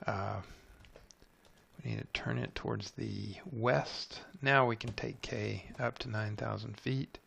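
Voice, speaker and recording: Very creaky-voiced man narrating a tutorial. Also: lowish voice. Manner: methodical, narrates each step. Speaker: tutorial presenter. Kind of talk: unscripted screencast tutorial, thinking aloud while playing.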